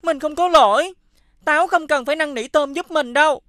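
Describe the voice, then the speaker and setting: A woman voicing a stubborn young schoolchild who likes to tattle, in an expressive children's cartoon style. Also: high voice, fairly quick, dry recording, clean studio sound. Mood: defiant. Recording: music behind the voice.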